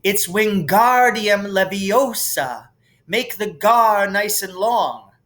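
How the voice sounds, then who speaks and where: A man's voice: high-pitched, takes it slowly, a bit bright, pitched above the performer's own voice. A man voicing a bossy, know-it-all young schoolgirl in a storybook reading, snappy and drawing out words as corrections.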